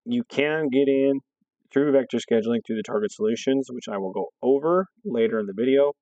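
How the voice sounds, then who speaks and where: A hoarse man from a fire district staff, explaining a scheduling app step by step and checking in with "okay" as he goes. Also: mid-range voice, a little fast, mellow, dry recording, highs cut off. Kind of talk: screen-recorded software walkthrough.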